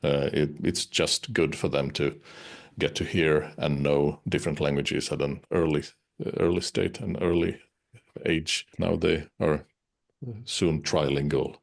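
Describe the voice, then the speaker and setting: Very deep-voiced Finnish-native man. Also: steady tone, unhurried, gravelly. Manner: hesitant, many uhs and restarts. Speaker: interviewed musician. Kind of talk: casual interview talk.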